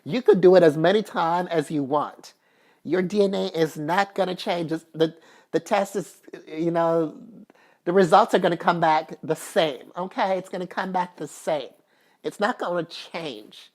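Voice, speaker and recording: A woman's voice: fairly low pitch, a little slow, a little mellow. A woman vlogger talking casually and at length, chatty and repetitive with many fillers, and emphatic when she makes a point. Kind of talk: casual personal talk to camera.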